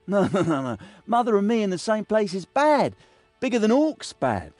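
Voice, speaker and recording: A man's voice: sits high, very animated, normal speed, darkish tone, no room sound, soft background music. A man voicing a boastful, sneaky little thief in a fantasy video game, theatrical and full of mock innocence. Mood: nervous, cheeky.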